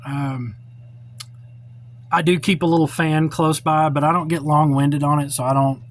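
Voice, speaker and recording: A man's voice: on the high side, little pitch movement, moderate pace. A man giving an informal hands-on technical walkthrough as a tutorial presenter, talking in a folksy, blunt and opinionated way. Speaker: presenter of a radio repair tutorial.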